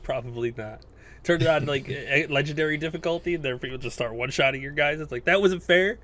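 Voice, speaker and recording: A man's voice: a little high, quick pace, a bit bright. Enthusiastic, sweary male gaming podcast co-host. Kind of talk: casual chat about video games.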